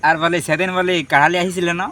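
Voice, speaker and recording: A man's voice: high-pitched, little pitch movement, quick pace, pushed and bright, slight background noise. A man chatting casually as a prank-call host. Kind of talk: casual chat to viewers and on a phone prank.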